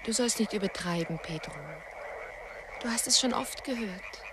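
A woman acting a tender, anxious young lover in a radio play, hesitant and intimate, with frogs croaking behind. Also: medium pitch, moderate pace, background noise, natural voice.

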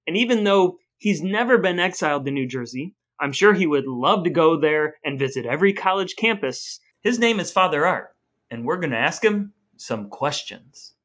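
A man's voice: on the high side, a bit bright. A man co-hosting a casual comedic podcast, telling stories in a wry, playfully grand way. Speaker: podcast co-host. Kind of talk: casual comedic storytelling chat.

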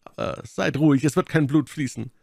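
A man's voice: on the high side, brisk, creaky, a little mellow, no echo, voice pushed up. A man as a sardonic baron, raised mocking voice. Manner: ironic, mocking, theatrical. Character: mocking, sardonic English baron, cool and superior. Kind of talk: dramatic audiobook character acting.

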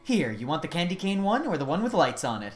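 A woman's voice: low voice, very animated, fast, soft background music. A woman voicing a friendly, timid teenage monster girl in a video game, polite and hesitant in manner.

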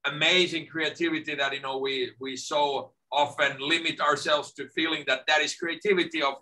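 Bright-voiced man from Finland. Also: on the high side, moderate pace, poor audio. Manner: lively, repeats words when starting sentences. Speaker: guest. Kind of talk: casual video-call interview. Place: home office. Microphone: video call.

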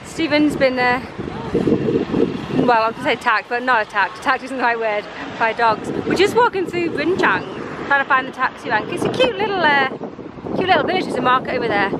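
A woman's voice: high-pitched, expressive, fairly quick. An English woman in her thirties with an English accent, chatting casually and informally as a travel vlogger. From England. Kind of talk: casual vlog chat. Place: open-sided farm shelter and village streets. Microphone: handheld camera at arm's length. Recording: busy public places with loud background noise. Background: crowd chatter, ambient noise, traffic.

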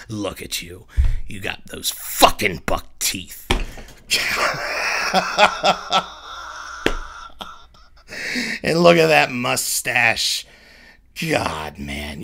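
A man voicing a cruel mirror reflection that sneers and taunts, swinging from hiss to shout in a dramatic reading. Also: fairly low pitch, vocal fry, heavily acted. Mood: gleeful mockery. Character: cruel mocking male mirror reflection tormenting its owner.

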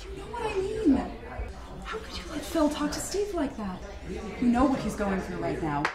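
A woman's voice: sits high, huge pitch swings, normal speed, reverberant, over music. An actress playing a widowed woman who soothes her partner and defends him. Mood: indignant. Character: widowed woman, anxious and protective.

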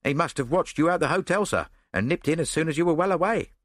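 A man's voice: on the high side, animated, quick pace, a bit bright, no room sound, studio quality, pitched above the performer's own voice. A man voicing a blunt, matter-of-fact Scotland Yard policeman with a grunting manner, in an audiobook story. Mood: brisk, sure.

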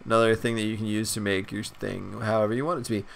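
A young man with a stuffed-up nose, chatting excitedly and rambling through a video game's shop menus as a gaming channel host. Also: fairly low pitch, fast talker, creaky. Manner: excited, rambling, many fillers. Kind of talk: casual gameplay commentary.

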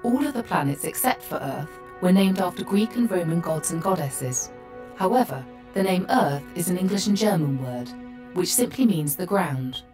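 A woman voicing a calm spaceship computer tour guide, giving measured, factual educational narration. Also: fairly low pitch, lightly acted. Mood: calm, informative. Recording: slightly distant voice under music. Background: music.

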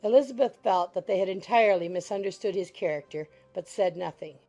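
Mellow woman narrating an audiobook. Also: mid-range voice, fairly quick, faint music behind. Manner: even, composed storytelling.

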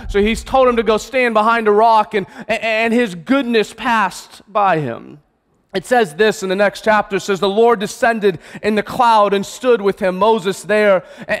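Pastor guy preaching on a church stage, really high voice, lots of restarts, big echoey hall. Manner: earnest, emphatic preaching with slowed stresses and restarts. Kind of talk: sermon. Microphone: stage mic, far camera.

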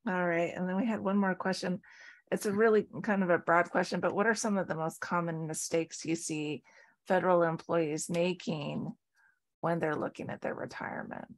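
A woman's voice: fairly low pitch, fairly even intonation, normal speed. A woman hosting a webinar on a video call, reading out questions and moderating. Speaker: webinar host.

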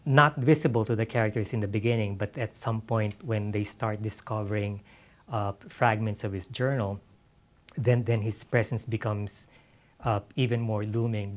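A middle-aged man, an author answering questions on stage, speaking haltingly and repeating his words and phrases. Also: lowish voice. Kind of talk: unscripted Q&A talk. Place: on stage in an auditorium with an audience. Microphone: lapel mic.

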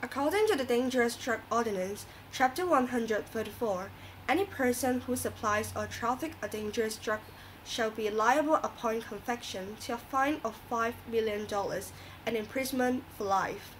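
A woman's voice: on the high side, normal speed, slight background noise, lightly acted, low-quality recording. A woman playing an earnest, moralizing talk show host in a scripted radio drama, reading didactically.

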